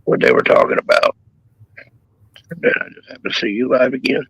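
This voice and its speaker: A man's voice: medium pitch, wildly swooping pitch, a little fast, fairly bright tone, no room sound, low-quality recording. A man who is losing his voice, talking in casual crude banter as a caller on a live stream chat show, laid-back and rambling. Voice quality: gravelly, husky.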